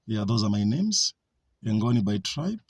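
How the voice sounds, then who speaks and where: A man with a Zambian accent gives an informal talk, pausing often on fillers and restarting his sentences. His voice is gravelly and a little husky, at a middle pitch. The sound is dry and close, with no room echo. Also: big pitch swings. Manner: hesitant, with frequent fillers and restarts. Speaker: speaker giving a talk.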